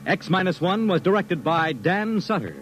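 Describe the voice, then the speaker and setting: A man's voice: high voice, big pitch swings, moderate pace, a bit bright. A man with an American accent announcing formally and dramatically in scripted 1950s radio style. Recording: muffled vintage radio recording. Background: music.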